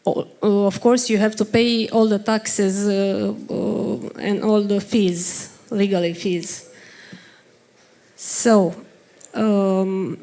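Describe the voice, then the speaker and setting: A woman with a Romanian accent giving a halting workshop presentation in English while unwell, pausing often to search for words. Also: mid-range voice, dark tone. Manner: hesitant, many ums and uhs, searches for words.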